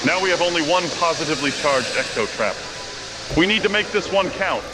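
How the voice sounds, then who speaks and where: A man's voice: on the high side, lively intonation, fairly quick, heavy background noise, close to an everyday voice. A man playing a dry, fussy scientist ghost hunter in a motion comic, speaking precisely. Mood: dry, concerned. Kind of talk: animated motion comic acting. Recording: very loud music behind.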